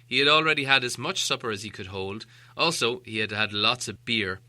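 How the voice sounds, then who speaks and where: A man reading a storybook aloud as an animated audiobook narrator. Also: mid-range voice, a little fast.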